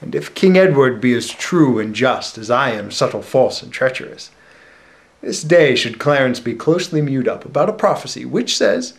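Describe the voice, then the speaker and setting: A man playing a bitter, scheming, deformed royal villain in a deliberate Shakespearean stage monologue. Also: medium pitch, expressive, gravelly. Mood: scheming resolve.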